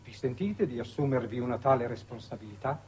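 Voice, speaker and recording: Colonnello severo, voce velata. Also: male voice, medium pitch, animated, brisk, a little mellow, slightly rough. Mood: cold, pressing. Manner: formal, commanding, pressing. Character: stern, relentless military colonel, coldly dutiful. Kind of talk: 1960s Italian television drama acting.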